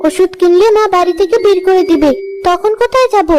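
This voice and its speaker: A woman's voice: very high voice, brisk. A woman playing a meek, selfless young village stepdaughter in a cartoon story, plaintive in manner. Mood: meek, caring. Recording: music behind the voice.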